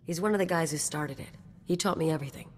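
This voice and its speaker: A woman's voice: sits low, fast talker. An actress playing a cynical, tough, self-serving mercenary woman in a video game, with a blunt, wry, streetwise delivery. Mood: guarded, businesslike.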